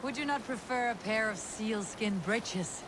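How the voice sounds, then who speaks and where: An actor playing a proud, stubborn Viking warrior in a video game, terse and defiant with archaic phrasing. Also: male voice, high-pitched, unhurried, noisy background. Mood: defiant, dry. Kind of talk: video game cutscene acting.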